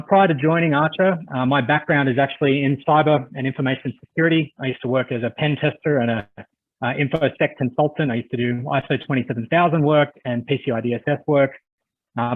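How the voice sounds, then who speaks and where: A man with an Australian accent giving a friendly, chatty webinar presentation over a video call, with frequent "uh" fillers. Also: medium pitch. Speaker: conference presenter. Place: home office on a video call. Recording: tinny phone-like video call audio.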